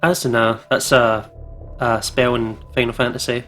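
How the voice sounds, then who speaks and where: A man's voice: medium pitch, little pitch movement, normal speed, fairly bright tone. A man co-hosting a let's play, chatting casually and reacting to a game in a jokey, slangy way.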